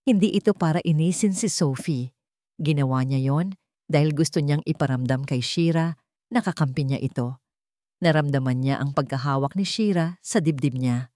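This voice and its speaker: Low-voiced woman narrating a romance drama. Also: moderate pace, warm tone, no room sound, studio quality. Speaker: story narrator.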